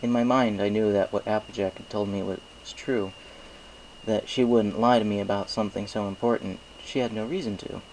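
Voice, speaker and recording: A man with a fairly low voice narrates a piece of fiction. He reads from a script as an amateur storyteller, with faint noise in the home recording. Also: fairly even intonation, a little fast, a little mellow, poor audio. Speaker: fan fiction reader.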